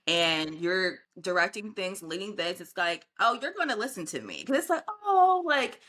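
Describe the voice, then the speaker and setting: A woman's voice: mid-range voice, big pitch swings, normal speed, a bit bright. A young adult woman co-hosting a podcast, chatting in an upbeat way with many "you know" fillers. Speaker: podcast co-host. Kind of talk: casual chat.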